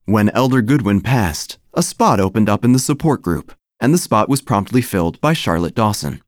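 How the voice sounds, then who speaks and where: A man's voice: on the low side, no room sound. A man playing a droll, gossipy small-town church narrator, telling a scripted comic story with wry, theatrical phrasing. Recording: music under the voice.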